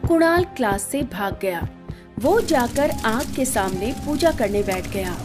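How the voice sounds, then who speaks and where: A woman narrating a scripted bedtime story in an expressive storytelling manner. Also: fairly high pitch, measured pace, over music.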